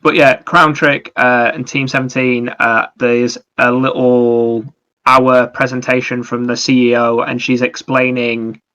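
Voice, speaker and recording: A man's voice: medium pitch, sharp tone. A man chatting casually about video games as a podcast host, explaining things in a rambling way. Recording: dull, lo-fi microphone sound.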